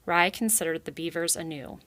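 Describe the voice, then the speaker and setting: A woman's voice: fairly low pitch, a little fast, sharp tone. A woman narrating a children's story as she reads it aloud. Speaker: reader.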